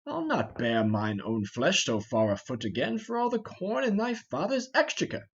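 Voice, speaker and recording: Brief: a man as fat old rogue knight, exclamatory and complaining, very animated delivery, Shakespearean stage reading, dull lo-fi recording. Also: on the high side, normal speed, gravelly, slightly rough, full character voice. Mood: grumbling. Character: blustering, grumbling, fat older thief and knight.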